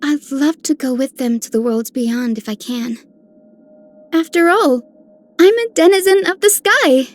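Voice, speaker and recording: A woman's voice: sits high, a little slow. An actress playing an eager, innocent young girl in a fantasy video game, full of curiosity. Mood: eager, curious. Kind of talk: scripted game character voice lines.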